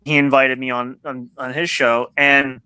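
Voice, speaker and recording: A man's voice: mid-range voice, flat delivery, a little slow, sharp tone, clean tone, dry recording, poor audio. A man hosting a podcast in casual interview chat, rambling with lots of restarts and fillers. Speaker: podcast host.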